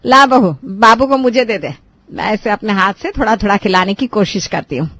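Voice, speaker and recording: A fond grandmother and mother-in-law in a cartoon tale, played by a woman. She speaks at a medium pitch, caring and in charge of the household, in a dry recording. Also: lively intonation, normal speed, fairly bright tone, no room sound. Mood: doting. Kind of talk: Hindi moral-story cartoon acting.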